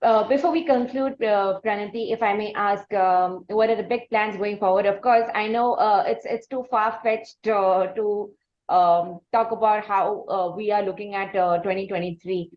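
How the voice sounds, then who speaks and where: A woman with an Indian accent hosting a conversational interview, phrasing her questions in a halting, wordy and formal way. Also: mid-range voice, steady tone, lo-fi sound. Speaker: podcast interview host.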